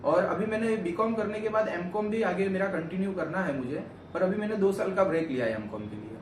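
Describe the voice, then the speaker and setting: A young man talks through his own story in a loose, rambling, earnest way, often circling back to restate a point. His voice sits a little high. He sounds set back from the mic in an echoey room, with steady noise behind him. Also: recorded from a distance. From Gujarat, India. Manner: rambling, earnest, self-correcting. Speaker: vlogger answering questions. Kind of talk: casual interview chat.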